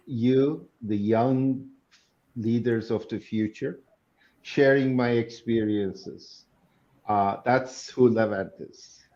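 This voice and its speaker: A man in his sixties from Turkey, a guest telling his story slowly and in measured phrases on a video call. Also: mid-range voice, warm tone, highs cut off. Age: 60s. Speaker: guest speaker. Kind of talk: online interview.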